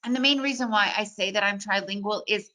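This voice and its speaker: Bright, energetic woman trading teacher. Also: medium pitch, fast. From Soviet Union, raised in the United States. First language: Russian. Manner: energetic, chatty, addresses audience as guys. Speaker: trading educator presenting a webinar. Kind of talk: casual webinar presentation.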